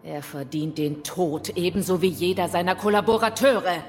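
Actress as a low-voiced, proud rebel leader. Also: female voice, steady tone, slight background noise, lightly acted. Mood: grave, resolute. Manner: measured, defiant, formal. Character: proud, composed female rebel leader from India. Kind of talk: German audio drama acting.

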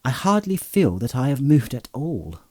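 A man reading an audiobook aloud and voicing a gentle, polite young male hobbit with a mild way of speaking. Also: mid-range voice, sing-song, moderate pace, slightly rough, voice pushed up.

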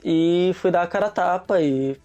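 Mellow-voiced young man telling stories. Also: sits high, normal speed, muffled recording, soft background music. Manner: easygoing storyteller, slangy, laughs often. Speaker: guest. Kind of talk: casual chat.

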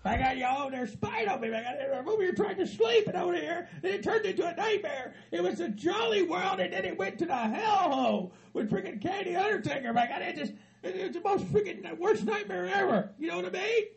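A man playing a frantic aging hillbilly husband with a Southern hillbilly accent, who stammers, rambles and laughs through his words while yelling and cussing. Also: lively intonation, brisk, hardly any pauses, over a noisy crowd, exaggerated voice. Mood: rambling upset. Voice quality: high-pitched and gravelly. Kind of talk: comedy sketch.

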